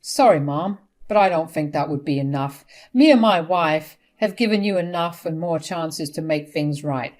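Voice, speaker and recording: A woman's voice: deep voice, animated, moderate pace, few pauses, a little mellow. A woman voicing a hurt, angry grown son who speaks firmly and accusingly in a family drama story. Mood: firm rejection. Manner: firm, accusing, exasperated. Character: hurt, angry adult son rejecting his mother.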